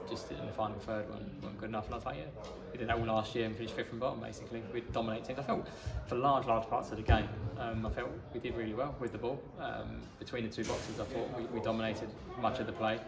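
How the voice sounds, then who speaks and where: A man, a football manager giving a measured, matter-of-fact post-match interview. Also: lowish voice, steady tone, creaky. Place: outdoors in a small football ground stand. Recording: echoey stand with crowd noise.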